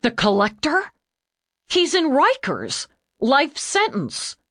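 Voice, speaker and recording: A woman's voice: sits high, wildly swooping pitch, slow pace, fairly bright tone, no echo, clean studio sound, pitched above the performer's own voice, full character voice. A woman voicing an earnest female police detective, the partner of her lieutenant.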